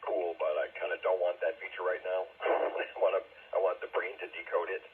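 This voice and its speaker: A man's voice: sits low, little pitch movement, brisk, breathy edge. A man in his sixties chatting casually about his hobby over a narrow, muffled radio link. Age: 60s. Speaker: amateur radio operator.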